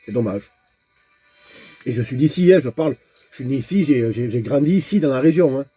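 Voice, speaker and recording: A man's voice: medium pitch, lively intonation, brisk, mellow, husky, soft background music. A man vlogging in a casual, rambling monologue, talking straight to the viewer and often repeating words while he searches for the next one. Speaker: nomad vlogger.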